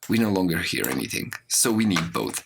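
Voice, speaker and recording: A man with a low, creaky voice teaches audio software step by step. His pitch moves around in a lively way as he explains, with keyboard and mouse clicks behind him. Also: a little fast, background noise. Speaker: audio production course instructor. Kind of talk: software tutorial narration. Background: mouse clicks and computer keyboard typing.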